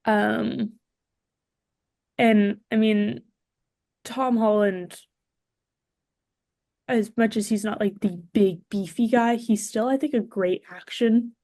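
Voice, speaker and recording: A woman's voice: medium pitch, steady tone, slow pace, vocal fry, long pauses, dark tone, no room sound, studio quality. A woman guesting on a podcast, chatting casually and enthusiastically about movies.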